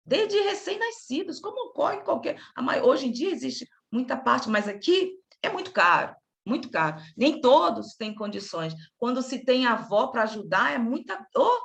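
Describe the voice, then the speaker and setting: A fairly high-pitched woman with a gravelly edge and a Carioca accent leads a relaxed study group. She talks in an animated, meandering way, breaking off and restarting her sentences as she goes. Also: normal speed, hardly any pauses. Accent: Carioca Brazilian Portuguese. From Rio de Janeiro, Brazil. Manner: animated, chatty, self-interrupting. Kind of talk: casual group discussion.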